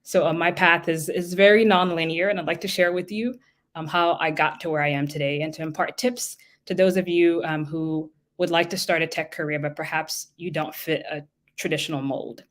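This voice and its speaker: A woman giving a lightning talk on a video call, articulate and measured, with frequent ums. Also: sits low, steady tone. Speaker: guest speaker.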